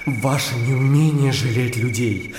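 A man's voice: mid-range voice, unhurried, natural voice. An actor playing an impassioned, idealistic young man in a radio drama, speaking in fervent, agitated rhetoric. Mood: impassioned, accusing. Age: young adult. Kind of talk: radio drama acting, literary dialogue. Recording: echoey reverberant hall with background noise.

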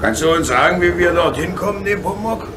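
An easygoing, fatherly engine driver in a children's radio play. The delivery swings freely in pitch and is reassuring, with thoughtful pauses. Clear noise sits behind the voice. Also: lively intonation. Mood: friendly. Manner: easygoing, avuncular, hesitant pauses. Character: jovial, reassuring adult male locomotive driver. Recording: noisy background with hiss.

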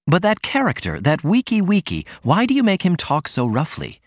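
A man's voice: huge pitch swings, moderate pace, dry recording, clean studio sound. A man reading aloud, voicing a prim young woman who pleads and reproaches in a raised, careful voice. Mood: reproachful. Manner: pleading, higher put-on voice.

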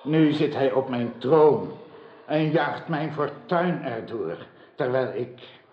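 An actor playing an old, wronged king in a radio drama, solemn and sorrowful, with halting, archaic speech. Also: medium pitch, slight background noise, close to an everyday voice. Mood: bitter, sorrowful. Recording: dull, roomy radio drama recording.

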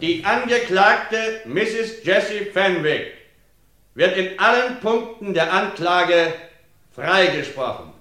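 An actor playing a formal judge in a 1950s radio drama, pronouncing slowly and solemnly. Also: male voice, high voice, animated, sharp tone, heavily acted. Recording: roomy old radio play recording.

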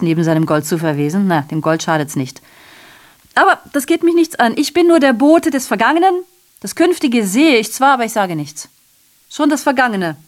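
Actress doing a mocking radio drama announcer lady, grim news, theatrical, mid-pitched, slips into confiding murmurs. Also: natural voice. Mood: sardonic. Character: sardonic female messenger and broadcaster of grim past events.

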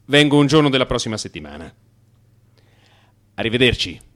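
Sleepless, anxious office worker in therapy. Radio drama monologue, agitated, very animated intonation. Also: male voice, mid-range voice. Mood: nervous, evasive. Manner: agitated, halting, self-justifying. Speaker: actor.

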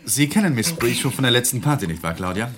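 Radio play: man as anxious husband with guilt, very lively pitch. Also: quick pace, background noise, lightly acted. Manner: brooding inner monologue, murmured to himself. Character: nervous, guilt-ridden middle-aged husband. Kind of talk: 1980s radio drama acting.

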